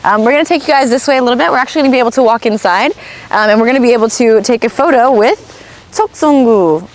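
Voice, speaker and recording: A woman's voice: on the high side, racing. A young woman from North Carolina hosting a casual travel livestream outdoors, chatty and giggly with lots of fillers. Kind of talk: casual live chat while touring. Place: outdoors at a historic fortress park. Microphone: clip-on mic.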